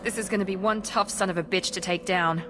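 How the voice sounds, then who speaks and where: A woman's voice: mid-range voice, steady tone, quick pace, noisy background, lightly acted. A woman playing an assured, analytical operative in a video game, assertive and matter-of-fact.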